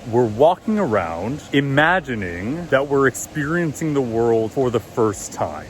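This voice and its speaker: A man with a deep voice giving relaxed, encouraging meditation advice in a casual vlog. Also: faint music behind. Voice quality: deep, low voice. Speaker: vlogger and meditation coach. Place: outdoors at a loud waterfall. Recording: outdoor recording with loud waterfall noise. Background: rushing waterfall.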